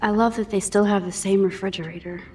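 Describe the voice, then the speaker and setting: A young woman with an American accent playing an introspective, self-doubting teenage girl in a video game, soft-spoken, understated and casual. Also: medium pitch, steady tone, quick pace, slight background noise, natural voice. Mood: cheerful, nostalgic. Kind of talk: video game acting, quiet inner monologue and dialogue. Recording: music under the voice. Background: background music.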